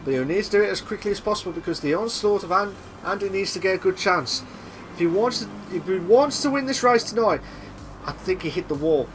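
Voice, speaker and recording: A young man doing live sim racing commentary, excitable and repetitive, with a voice he says he is losing. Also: high-pitched, a little fast, background noise. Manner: excitable, repeats himself, shouts at big moves. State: losing his voice.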